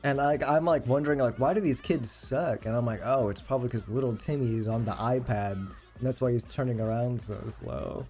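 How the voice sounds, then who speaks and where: A man's voice: mid-range voice, fairly quick, hardly any pauses, dark tone, soft background music. A man in his late twenties chatting casually on a livestream, rambling and self-deprecating with plenty of swearing. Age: late 20s. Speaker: game livestreamer.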